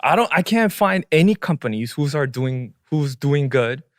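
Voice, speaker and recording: A man's voice: on the high side, animated, moderate pace. A man whose first language is Korean, co-hosting a podcast in English and explaining at length, dropping into Korean phrases now and then. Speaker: podcast co-host. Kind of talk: casual podcast discussion.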